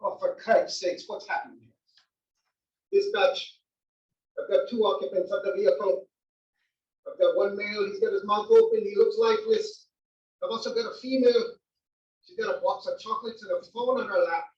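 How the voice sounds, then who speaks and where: An urgent police officer in his forties, read by a man at a table read, reporting in a clipped, businesslike way. The voice is high, dull and distant in a roomy echo. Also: steady tone, normal speed, pauses often, darkish tone, natural voice. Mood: businesslike, alarmed. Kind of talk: comedy table read before an audience. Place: library room, table read. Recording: dull, echoey library room, distant mic.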